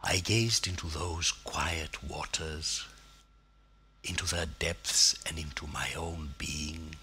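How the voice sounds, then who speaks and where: A man reciting poetry in a theatrical, declamatory manner, with weighty pauses and a husky, gravelly, breathy voice. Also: very deep voice, fairly even intonation, sharp tone, slight background noise. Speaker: poetry reader.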